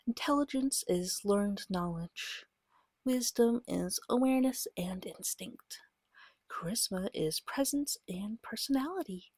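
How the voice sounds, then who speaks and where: A woman speaking softly in a gentle, patient ASMR style, often slipping into a whisper as she guides a beginner in short, measured phrases. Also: mid-range voice, no echo. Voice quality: soft, breathy, often dropping to a whisper. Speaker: guide teaching a beginner tabletop game. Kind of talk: soft-spoken ASMR, slow guided explanation.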